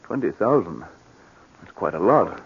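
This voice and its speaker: A man's voice: sits low, very animated, measured pace, slightly rough, full character voice. A man acting in 1940s radio style as an anxious, guilt-ridden, trusting businessman, earnest and troubled. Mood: taken aback. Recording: dull lo-fi vintage 1940s radio recording.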